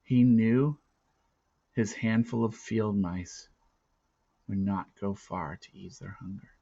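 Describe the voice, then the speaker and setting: A man reading a children's novel aloud with deliberate, dramatic phrasing. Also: fairly low pitch, vocal fry, warm tone, highs cut off. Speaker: story reader. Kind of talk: expressive read-aloud narration of a children's novel.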